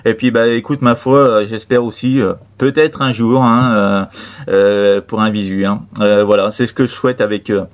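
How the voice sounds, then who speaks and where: A man's voice: on the low side, steady tone, normal speed. A man chatting casually as an amateur radio operator, repeating himself with friendly sign-offs. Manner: repetitive, filler-heavy, friendly sign-offs. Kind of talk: casual radio contact chat.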